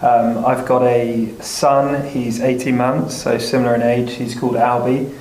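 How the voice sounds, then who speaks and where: A man's voice: mid-range voice, little pitch movement, a little slow, a little mellow. A man chatting casually in a group, heard in a roomy, distant recording. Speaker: panel participant. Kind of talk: casual chat. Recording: roomy and distant, background noise.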